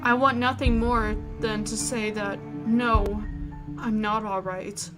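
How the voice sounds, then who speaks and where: A woman's voice: fairly high pitch, level tone, slow pace. A woman gaming host expressively reading a visual novel's first-person narration aloud and reacting casually. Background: music.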